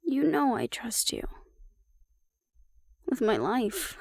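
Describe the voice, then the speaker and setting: A woman's voice: fairly high pitch, wildly swooping pitch, takes it slowly, gravelly, darkish tone, slightly rough, dry recording, exaggerated voice. A woman acting in an audio roleplay as a sardonic, nervous young woman who mutters dry asides to her mate. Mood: nervous, wry.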